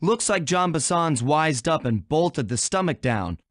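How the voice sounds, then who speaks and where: A man's voice: fairly high pitch, animated, a little slow, dry recording, studio quality. A man voicing a suave young animal thief in a cartoon video game, wry, casual and unflappable. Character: suave, laid-back, wisecracking young male animal thief.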